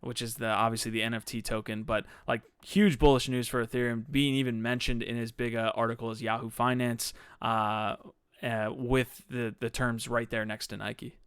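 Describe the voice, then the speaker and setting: A man's voice: medium pitch, level tone, normal speed, a bit bright, smooth, no room sound, studio quality. A man hosting a casual crypto podcast, chatty and upbeat, with many "like"s. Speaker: podcast host. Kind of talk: casual panel discussion.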